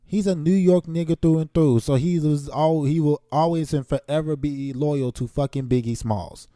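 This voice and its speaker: Male podcast co-host, blunt and slangy, dark mellow voice, dry sound. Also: on the high side, fairly even intonation, normal speed, no room sound. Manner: blunt, slangy, cuts in to disagree. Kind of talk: casual debate chat.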